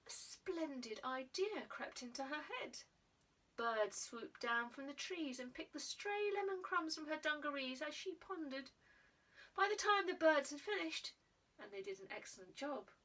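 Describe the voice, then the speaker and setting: A woman reading her own children's book aloud, expressive and playful, sometimes dropping to a near-whisper. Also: high-pitched, recorded from a distance, low-quality recording. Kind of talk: children's storybook narration.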